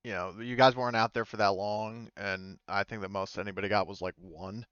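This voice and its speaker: A man with a clean, smooth voice at a middle pitch leads a tabletop game as game master. He talks casually and tentatively, in a dry but slightly dulled recording. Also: fairly even intonation, brisk, a bit bright, no room sound, muffled recording. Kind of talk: improvised game narration and casual table talk.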